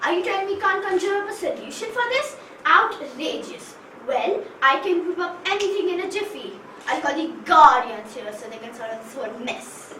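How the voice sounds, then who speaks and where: A woman's voice: high-pitched, lively intonation, moderate pace, pushed and bright. A woman playing a boastful old teacher. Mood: brisk. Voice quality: theatrical, exclaiming. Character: bold, boastful female former teacher. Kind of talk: amateur stage play. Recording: echoey hall, far from mic, faint noise.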